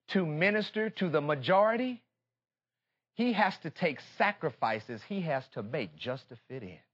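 An American man preaches with fervor in a forceful, fairly high voice. He leans on repetition and calls for response, shifting between confiding talk and impassioned shouts. The live recording sounds slightly dull. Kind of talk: fervent sermon.

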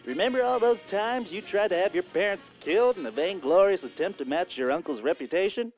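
A man voicing an old-fashioned educational filmstrip narrator in an animated comedy, with mock-earnest announcer delivery. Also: sits high, fairly quick, muffled recording, soft background music.

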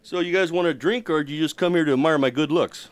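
A man's voice: fairly high pitch, fast, lightly acted. A man playing a friendly, joking barman at a soccer pub in an audio drama, breezy and affable.

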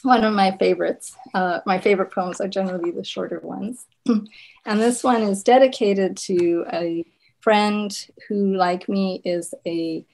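A woman poet from Zimbabwe whose first language was Shona, reading her poems and talking slowly and thoughtfully about them, with many pauses and ums. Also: medium pitch, steady tone, gravelly, a little mellow, rough recording. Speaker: poet and professor giving a reading.

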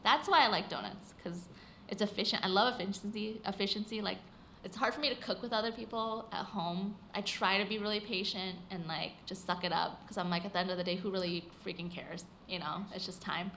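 Chatty shop-owner woman, relaxed and talkative, lots of "like"; faint room ambience behind. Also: medium pitch, a little fast, slight background noise. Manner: chatty, filler-heavy with frequent "like". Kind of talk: recorded interview, casual chat. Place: small shop during opening hours.

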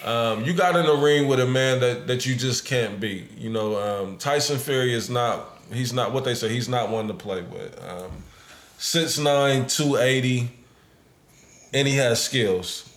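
A hoarse man hosting a casual podcast chat, talking in a laid-back, rambling way with lots of fillers. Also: mid-range voice, unhurried, a bit bright, reverberant. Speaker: podcast host.

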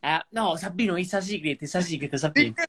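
A young man from Italy speaks English with a clear Italian accent, his voice sitting a touch high and ringing bright. He chats loosely and jokes freely as a guest on a live podcast stream. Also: quick pace. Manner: animated and joking. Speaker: guest actor. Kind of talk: casual chat. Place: livestream podcast.

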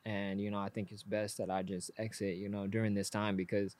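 A man in casual conversation, talking reflectively and in a measured way, often saying "you know" and "like.". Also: lowish voice, little pitch movement, a little mellow.

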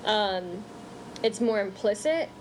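A woman's voice: mid-range voice, lively intonation, slow, background noise. A woman raised in the United States, co-hosting a podcast and reflecting in a casual, hesitant way with frequent restarts. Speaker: podcast co-host. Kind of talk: casual conversation.

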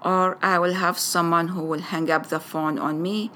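A woman with an Iraqi Arabic accent, her native tongue Arabic, telling her story in slow, careful English. Her voice sits a little low, and she pauses often as she picks her words. A faint hiss runs under the recording. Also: little pitch movement, a bit bright. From Iraq. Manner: careful, deliberate phrasing with frequent hesitations. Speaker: parent sharing her background. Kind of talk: personal testimony to a school audience.

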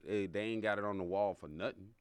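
A man's voice: lowish voice, fast talker, smooth, dry recording. A middle-aged man chatting casually and telling stories in a slangy, rambling way. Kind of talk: casual chat, storytelling.

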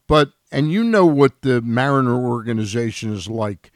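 A man's voice: mid-range voice, expressive, moderate pace, dry recording. A man on a sports radio panel in a studio, casually putting questions to a guest.

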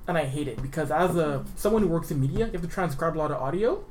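A man with a high-pitched voice for a man, chatting easily and joking at his own expense as a guest on a podcast. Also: animated, fast, darkish tone, slight background noise. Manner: easygoing, self-deprecating. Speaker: guest sports blogger. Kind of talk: casual podcast chat.

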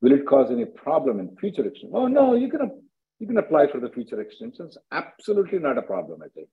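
A man, hosting a call-in as an attorney, briskly answering questions and repeating himself. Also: a little high, wildly swooping pitch. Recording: dull lo-fi conference call audio.

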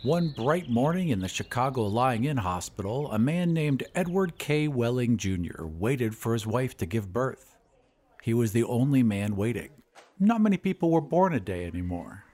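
A man's voice: medium pitch, big pitch swings, moderate pace, darkish tone, slightly rough. A man hosting a storytelling podcast, reading scripted narration. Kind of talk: scripted audiobook-style narration.

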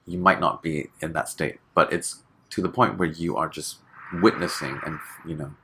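A man from Hong Kong, a guest chatting casually in an interview, relaxed and full of fillers, laughing often. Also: very deep voice, moderate pace, a little hiss or hum.

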